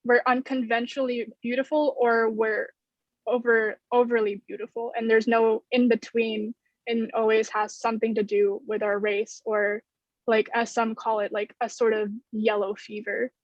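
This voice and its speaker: A woman's voice: fairly high pitch, level tone, moderate pace. A young woman speaking casually as a panelist in a discussion over a video call from a room at home. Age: young adult. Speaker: student playwright, panelist. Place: bedroom, indoors. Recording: roomy, dull video-call audio.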